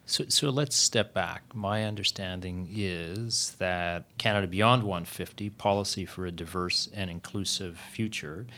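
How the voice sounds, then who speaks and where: Deep-voiced male interviewer. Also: fairly bright tone. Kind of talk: conversational interview, switching to French.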